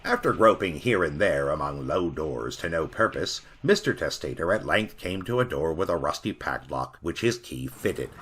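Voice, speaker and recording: A man narrating a Victorian ghost story in an expressive, dramatic reading. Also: rumbling low, moderate pace, few pauses, slight background noise.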